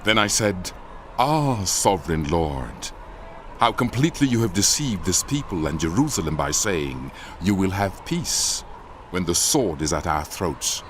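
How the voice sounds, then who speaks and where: A man's voice: deep voice, very animated, measured pace, a bit bright, slight background noise, heavily acted. An actor playing an anguished prophet who warns his doomed people, in an impassioned, urgent dramatized scripture reading. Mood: dismayed.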